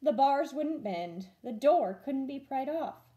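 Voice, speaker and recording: A woman teacher reads a children's story aloud. Her voice is fairly high and mellow, and her intonation is very animated and expressive throughout. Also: slow pace.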